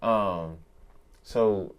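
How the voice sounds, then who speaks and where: A young man co-hosting a TV review, chatting casually in slangy, animated talk and acting out characters' lines. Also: fairly low pitch, drawn-out words, smooth. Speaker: co-host of a TV review show. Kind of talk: casual chat.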